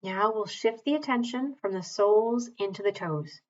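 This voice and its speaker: A woman teaching mindfulness, leading a guided body scan in a calm, measured, gentle way with long pauses. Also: medium pitch, highs cut off.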